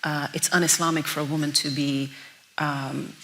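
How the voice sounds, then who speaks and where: A woman's voice: sits low, little pitch movement, moderate pace, pushed and bright. A young woman from Norway, a documentary filmmaker, answering interview questions in an earnest, reflective way with frequent restarts. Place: event venue. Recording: faint hiss.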